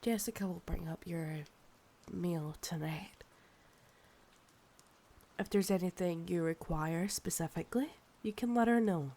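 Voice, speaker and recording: A woman's voice: on the low side, a little hiss or hum. A woman with a Scottish accent playing a possessive, commanding yet doting vampire in an ASMR roleplay, often whispering breathily. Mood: hushed, matter-of-fact. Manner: slow, hushed, commanding.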